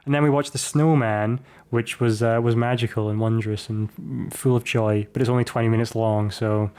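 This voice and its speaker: Male podcast co-host, medium pitch, informal film talk with the odd joke. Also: steady tone, moderate pace, darkish tone, smooth. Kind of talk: casual film discussion.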